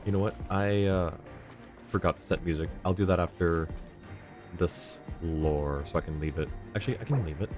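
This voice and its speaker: A man with a low voice gives casual commentary as he plays a game on stream. He mutters to himself as he goes, with game music playing clearly behind him. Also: normal speed. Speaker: game livestreamer.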